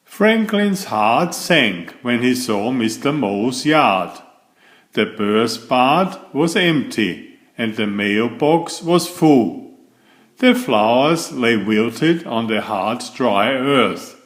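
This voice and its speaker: A man reading a children's picture book aloud in a deliberate, expressive storytelling style. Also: mid-range voice. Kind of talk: read-aloud storybook narration.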